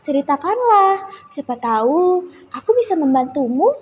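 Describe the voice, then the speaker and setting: A young female storyteller voicing a kind, wise, patient village woman with a gentle, reassuring manner. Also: high voice, sing-song, normal speed. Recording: muffled echoey hall recording.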